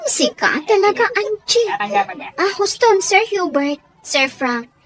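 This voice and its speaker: Very high-pitched shy young housemaid played by a woman. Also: very animated, slow, crowd noise, full character voice. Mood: excited, playful. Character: shy, respectful young housemaid on a beach outing. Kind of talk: Ilocano radio drama acting.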